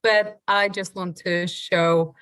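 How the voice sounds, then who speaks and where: A woman's voice: lowish voice, steady tone, takes it slowly, fairly bright tone, smooth, dry recording. A woman from Poland with a Polish accent, a podcast guest telling her story earnestly with rising emphasis. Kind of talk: podcast interview.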